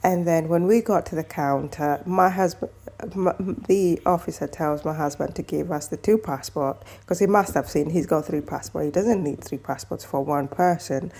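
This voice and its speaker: This is a woman from Kenya telling a story casually to her viewers. She speaks low and mellow, and she rambles chattily, restarting her phrases and adding asides as she goes. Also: normal speed. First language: mother tongue other than English, also Swahili. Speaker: vlogger.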